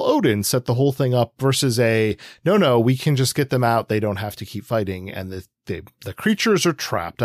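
A man's voice: medium pitch, dry recording, studio quality. A man co-hosting a podcast, chatting casually in rambling sentences with emphatic asides. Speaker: podcast co-host. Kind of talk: casual comic book discussion.

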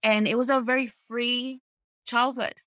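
A woman's voice: fairly high pitch, level tone, unhurried, fairly bright tone, dry recording. A woman from Peru tells her personal story in an open, reflective, conversational way, often checking in with small tag questions. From Peru, small town. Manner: reflective, open, often seeks agreement with tags.

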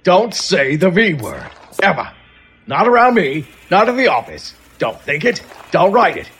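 A blustering, exasperated middle-aged newspaper editor, as a man acts him out, barking orders at his staff in a radio play. The voice sits high and swings widely, with a bright, forceful edge. Faint music runs underneath. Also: sing-song, unhurried, heavily acted. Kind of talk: old-time radio drama acting.

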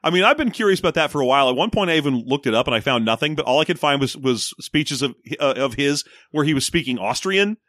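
A man's voice: a little high, lively intonation, quick pace, few pauses, fairly bright tone, no echo, clean studio sound. A man co-hosting a casual movie recap podcast, joking and giggling as he rambles. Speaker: podcast co-host.

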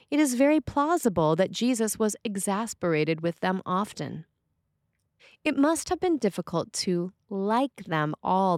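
A woman reading a scripted sermon as a preacher, with measured, deliberate phrasing. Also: lowish voice, dry recording, clean studio sound.

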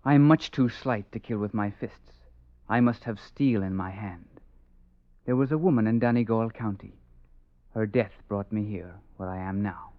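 An actor playing a slight, haunted Irish killer in an old-time radio drama. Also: male voice, fairly low pitch, moderate pace, dry recording, lightly acted. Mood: brooding. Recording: tinny, narrow old radio recording.

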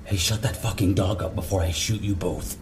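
An actor playing a gruff, commanding, territorial National Guard corporal in a zombie audio drama. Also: male voice, low-pitched, steady tone, brisk, heavy background noise, lightly acted. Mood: threatening. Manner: commanding, profane, stern.

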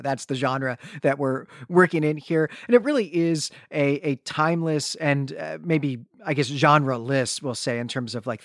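A man's voice: a little high, dry recording, clean studio sound. A man co-hosting a podcast, recapping a story conversationally in a wordy, digressive and wryly joking way. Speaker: podcast co-host.

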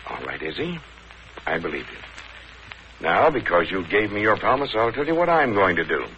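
A grave but kindly older counselor speaks in a deep, measured voice, gently admonishing. It is 1940s radio acting, firm in tone, on a dull, faintly hissy old broadcast. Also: male voice, big pitch swings.

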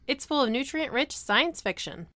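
Brief: woman as a bright, fast-talking advert announcer who knows she's in an ad, dry studio sound. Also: expressive, dry recording. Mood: chirpy. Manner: brisk and bubbly pitch. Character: chirpy self-aware female ad announcer.

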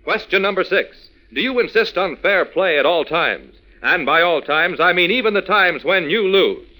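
A man announcing on a 1940s children's radio show. His voice is bright and forceful, a little high, and he speaks to young listeners in an emphatic, folksy, scripted way. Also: expressive, normal speed, poor audio. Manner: emphatic, folksy direct address.

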